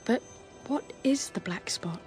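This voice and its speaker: A woman's voice: on the high side, lively intonation, measured pace, darkish tone, faint music behind. A woman playing a polite, anxious young boy, earnest and respectful, in a radio drama. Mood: curious.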